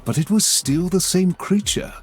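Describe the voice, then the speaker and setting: An actor playing a wary, analytical space marine officer, calm and formal. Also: male voice, high-pitched, moderate pace. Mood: troubled. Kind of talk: game cutscene voice acting. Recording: with score music behind.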